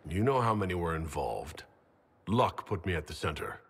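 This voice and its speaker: An actor playing a decisive hero in a fantasy game, speaking in a direct way. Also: male voice, low voice, expressive, moderate pace, breathy edge, heavily acted. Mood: modest. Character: decisive adult male hero leading a resistance. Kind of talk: dramatic fantasy game acting.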